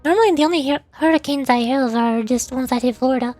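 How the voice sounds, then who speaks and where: A woman's voice: high-pitched, quick pace, darkish tone, faint music behind. A woman streaming as a VTuber, chatting casually in a playful, rambling way during a game livestream.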